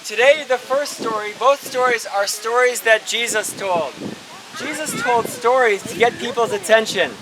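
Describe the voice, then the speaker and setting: A woman's voice: fairly high pitch, moderate pace. A woman presenting a children's puppet show outdoors, explaining simply and putting questions to the audience. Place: outdoors at an open-air show. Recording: very windy, noisy outdoor recording.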